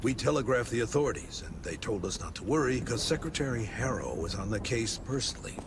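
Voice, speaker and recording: An actor playing a kindly frontier priest who speaks in a gentle, fatherly, sermonizing way. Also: medium pitch, animated, fast talker, noisy background, lightly acted. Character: kindly folksy frontier padre, preachy.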